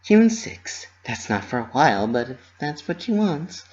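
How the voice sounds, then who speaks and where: A man voicing a bubbly, excitable young female cartoon pony who plans parties, exclaiming cheerfully in a story reading. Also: fairly high pitch, measured pace, muffled recording, voice pushed up. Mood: excited, cheerful.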